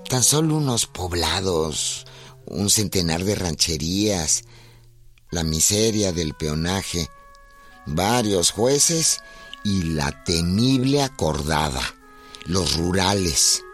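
An actor as the solemn narrator of a radio biography, measured and emphatic in a literary scripted style. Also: male voice, low voice, expressive, fairly bright tone, faint music behind, heavily acted.

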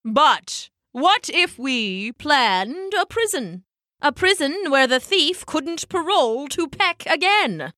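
A woman's voice: sits high, very animated, slow pace, pushed and bright, no room sound, pitched above the performer's own voice, full character voice. A woman voicing an elderly male penguin who is bumbling, pompous and earnest, with hesitant, alliterative phrasing, for a children's story.

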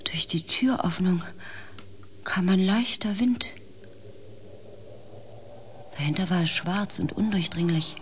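A woman's voice: on the low side, unhurried, slight background noise, lightly acted. An actress playing a female space pilot, grave and intense, in a science fiction radio play. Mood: tense, haunted. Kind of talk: radio play acting, dramatic first-person narration.

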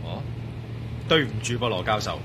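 A man's voice: lowish voice, animated, normal speed, background noise, natural voice. A man with a Hong Kong Cantonese accent playing a steadfast, shrewd adventurer hero in a radio drama, in a firm, dry manner. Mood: defiant. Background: background music.

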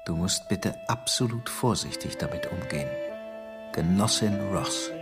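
A man's voice: deep voice, expressive, unhurried. Radio play role: scheming male security chief, conspiratorial, commanding, very varied intonation, music underneath. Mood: secretive, firm. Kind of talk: radio drama acting.

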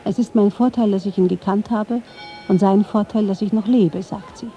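A woman reading scripted narration for a radio feature in a measured, literary way. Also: mid-range voice, steady tone, dark tone, highs cut off. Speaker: documentary narrator.

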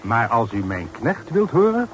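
A man's voice: medium pitch, very animated, measured pace. Polite earnest townsman in old radio play, formal talk, voice goes up and down a lot, noisy tape. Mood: earnest, concerned. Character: earnest, deferential townsman reporting troubling news to a gentleman. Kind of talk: Dutch radio play acting.

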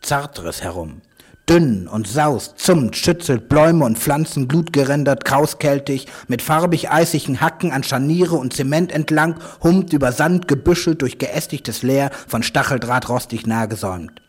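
A man's voice: mid-range voice, moderate pace, pauses often, slightly rough, no room sound, studio quality. A man speaking poetic observations into a recorder in fragmented phrases that pile up like lists. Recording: field recording with traffic noise.

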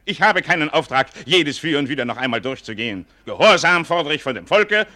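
Sharp, forceful, imperious commander. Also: male voice, fairly high pitch, animated. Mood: cold, imperious. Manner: commanding, measured, formal, declamatory. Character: stern, imperious royal commander demanding absolute obedience. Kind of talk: 1940s German radio drama acting, theatrical declamation.

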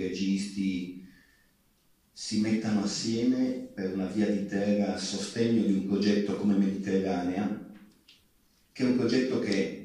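A man with a low, mellow voice speaks very slowly from a theatre stage, distant and heavily echoing. He delivers a deliberate campaign speech, building it on repeated phrases and pauses. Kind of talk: live public speech from a stage. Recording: very echoey theatre, distant mic.

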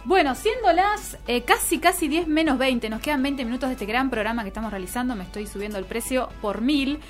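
A woman with a Rioplatense Argentine accent speaks in a highish voice over music. She hosts a live radio show, chatting casually with playful irony. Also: expressive, fairly quick. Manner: playful, ironic.

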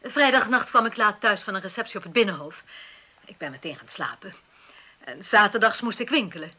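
A woman's voice: medium pitch, animated, fairly quick, bright, forceful tone. An actress playing a haughty, self-absorbed mother and government official in a Dutch radio drama, snobbish and defensive, with a Netherlands accent. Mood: flustered, self-absorbed.

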